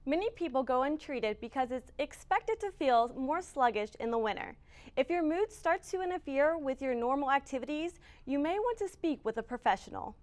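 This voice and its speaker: Young woman, news anchor, high-pitched, smooth scripted delivery. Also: animated, moderate pace. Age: young adult.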